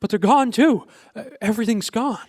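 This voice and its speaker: Frantic everyman, very high male voice, stammering and pleading, dry radio-drama sound. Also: fairly quick, darkish tone, dry recording. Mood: distraught. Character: frantic, bewildered everyman husband and father. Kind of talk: live radio play acting.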